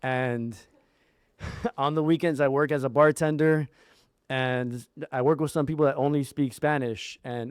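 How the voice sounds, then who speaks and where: A man's voice: medium pitch, darkish tone, smooth, no echo, clean studio sound. A man who wrote the script speaks earnestly and a little hesitantly to a theater audience. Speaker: screenwriter. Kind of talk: casual talk to an audience. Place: theater stage.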